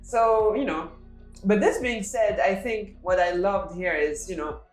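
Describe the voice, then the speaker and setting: A woman's voice: mid-range voice, unhurried, echoey room, soft background music, poor audio. A woman from Tunisia, a guest on a podcast, talking in a casual, reflective way. She leans on fillers and laughs easily. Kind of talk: casual interview chat.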